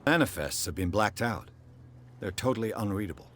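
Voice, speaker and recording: An actor playing a terse, gruff private investigator who questions people bluntly in a video game, with a husky voice. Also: male voice, on the low side, expressive, fairly quick, fairly bright tone, a little hiss or hum. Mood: probing. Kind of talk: video game cutscene dialogue.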